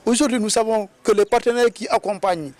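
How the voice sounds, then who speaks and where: A man with a Senegalese French accent giving a formal, halting interview statement. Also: sits high, fairly even intonation, a little mellow, no echo.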